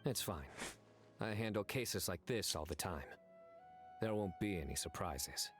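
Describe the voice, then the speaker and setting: Casting: grave, terse desert enforcer for anime game; lowish voice, confident, deadpan, music underneath. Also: male voice, a little slow. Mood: calm, confident. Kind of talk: anime video game acting.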